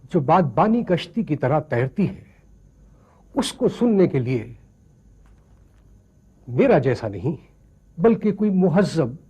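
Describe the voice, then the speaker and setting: An actor playing a pompous, easily offended colonial gentleman in a screen drama, haughty and declamatory. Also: a little high, slightly rough, muffled recording, exaggerated voice. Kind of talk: theatrical screen drama acting.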